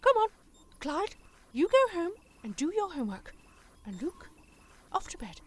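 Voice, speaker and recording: A woman playing a motherly, brisk adventurer in an audio drama, with an earnest manner. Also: very high voice, huge pitch swings, heavily acted. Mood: brisk, fond. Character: motherly, brisk female alien-fighting adventurer. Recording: clearly noisy background.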